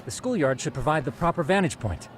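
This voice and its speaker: A man playing a polite, meticulous, quietly smug tech investigator in a video game dub, with formal, precise, wordy speech. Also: on the high side, lively intonation, fast, a little hiss or hum, natural voice. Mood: formal, brisk.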